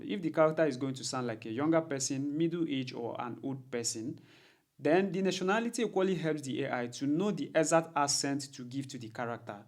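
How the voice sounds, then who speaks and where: A man presenting a tutorial, narrating instructions methodically step by step. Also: medium pitch. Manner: methodical, repetitive step-by-step explaining. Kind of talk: instructional screen-recording narration.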